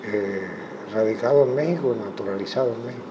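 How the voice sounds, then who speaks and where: A Cuban man, a chess coach, giving thoughtful interview answers in a measured way with frequent pauses. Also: mid-range voice. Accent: Cuban Spanish. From Cuba. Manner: measured, thoughtful, many hesitations. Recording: muffled audio with clear background noise.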